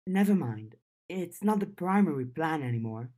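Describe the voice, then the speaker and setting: A woman's voice: deep voice, expressive, moderate pace, mellow. A woman voicing a blunt, restless teenage girl with a wry streak in an audiobook. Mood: earnest. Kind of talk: audiobook character dialogue.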